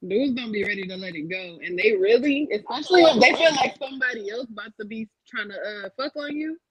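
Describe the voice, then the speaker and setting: A woman guest on a live podcast, talking in a blunt, animated way. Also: a little high, brisk, crowd noise, poor audio. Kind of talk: casual live podcast chat.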